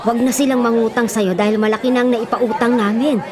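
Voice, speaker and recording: A woman's voice: a little high, steady tone, quick pace, close to an everyday voice. A woman with a Filipino accent playing a kind, worrying older mother in a radio drama. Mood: worried, gentle. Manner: fretful, exclaiming. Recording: music and crowd noise behind. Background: music, crowd sounds.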